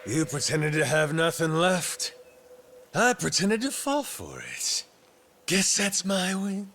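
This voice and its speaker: Cocky gangster, wildly swooping voice. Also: male voice, fairly high pitch, huge pitch swings, slow pace, background noise, exaggerated voice. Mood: smug. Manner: cocky, profane, slangy drawl. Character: brash, playful, rough-talking Yakuza man who lost his memory. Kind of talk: video game cutscene acting.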